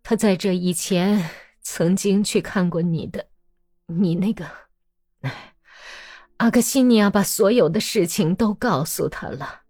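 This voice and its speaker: A woman voicing a sorrowful old peasant mother who speaks softly and hushed. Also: on the low side, a little slow, dry recording, clean studio sound. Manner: soft, hushed.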